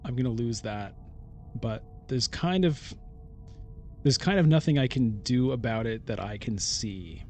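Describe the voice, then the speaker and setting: Slow-paced man thinking aloud over a game. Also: medium pitch, darkish tone, faint music behind. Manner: halting, deliberating, self-questioning. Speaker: gamer, let's-play host. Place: solo recording of a digital board game playthrough.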